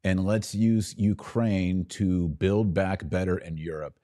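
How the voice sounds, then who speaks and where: Low-voiced man doing casual commentary. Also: fairly even intonation, takes it slowly, dark tone. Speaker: podcast host giving political commentary. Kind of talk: casual opinionated commentary over clips.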